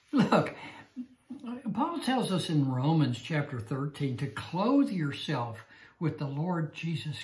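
Slow, emphatic older man teaching Scripture. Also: high-pitched, reverberant. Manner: slow, emphatic, repeats key phrases. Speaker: Bible teacher. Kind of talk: Bible study lecture. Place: small room indoors. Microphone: lapel mic.

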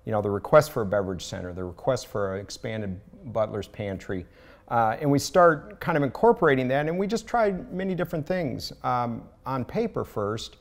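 A man's voice: medium pitch, lively intonation, normal speed. A middle-aged man with a Southern US accent, a contractor explaining a remodel in a casual interview, with hesitant fillers. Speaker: building contractor, guest. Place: large home kitchen, indoors.

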